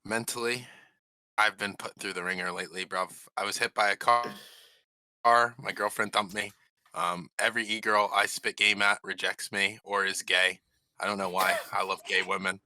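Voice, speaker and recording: A brash man with a lowish pitch and an edgy, bright tone. He talks in casual streamer slang, dropping bro again and again. He plays the coach on a streamed dating show. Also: flat delivery, normal speed, no room sound. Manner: brash, keeps saying bro.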